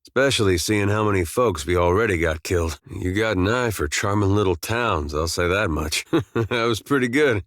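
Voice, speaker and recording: Low, folksy, wry farmer-soldier. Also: male voice, lively intonation, moderate pace, fairly bright tone, dry recording. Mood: wry, teasing. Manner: laid-back, drawling folksy phrasing, dry humour. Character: easygoing folksy farmer turned soldier, wry, loyal, male. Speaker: video game companion character. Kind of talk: game dialogue and battle barks.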